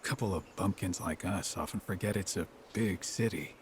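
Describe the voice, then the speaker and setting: A man's voice: low-pitched, moderate pace, slight background noise, natural voice. An actor playing a humble, kindly middle-aged country father in a video game, plain-spoken and homely. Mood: wistful, apologetic. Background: game background music.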